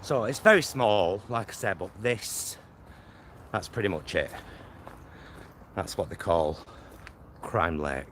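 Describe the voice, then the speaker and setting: A man's voice: deep voice, animated, takes it slowly, pauses often, fairly bright tone. A man chatting casually as he walks, vlogging and thinking aloud in short, rambling phrases. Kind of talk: casual chat while walking outdoors. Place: outdoors on a walk near motorway and lake. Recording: outdoor noise, traffic and ambience.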